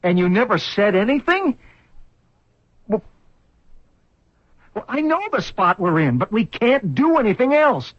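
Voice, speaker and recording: An actor playing an agitated, desperate man in an urgent, halting and emphatic radio drama style. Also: sits high, very animated, exaggerated voice. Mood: alarmed, urgent. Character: agitated engineer, desperate man revived in another body. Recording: dull, band-limited vintage radio recording.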